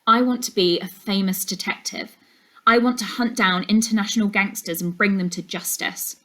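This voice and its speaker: A woman's voice: mid-range voice, steady tone, normal speed, bright, forceful tone, close to an everyday voice. Earnest young storybook schoolgirl, plucky heroine read by a woman. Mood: earnest, determined.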